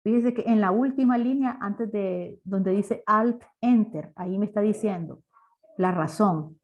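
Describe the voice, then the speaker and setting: A woman's voice: medium pitch, unhurried. A woman teaching a programming class step by step, asking questions as she explains. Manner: didactic, asks rhetorical questions. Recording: dull lo-fi online class audio.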